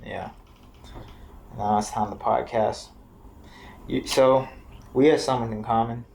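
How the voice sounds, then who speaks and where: A man's voice: medium pitch, fairly even intonation, a little slow, gravelly, darkish tone, slight background noise. A man with an American accent hosting a podcast from a house, talking in loose, profane banter. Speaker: podcast host. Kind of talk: casual chat. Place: grandparents' house, indoors.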